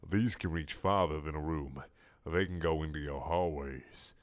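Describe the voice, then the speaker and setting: A man voicing a huge male giant squid, smooth-talking and domineering, in a hushed, persuasive, unhurried way for a comic story. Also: a bass voice, no room sound, voice pushed down. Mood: commanding.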